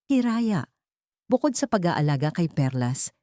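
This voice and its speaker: Stern Filipino household mistress for a storybook drama, read by a female narrator. Composed, commanding, lowish mellow voice. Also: measured pace, no room sound, clean studio sound. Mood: cold, commanding. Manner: calm but steely, commanding. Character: stern, controlling, outwardly calm woman running a mansion.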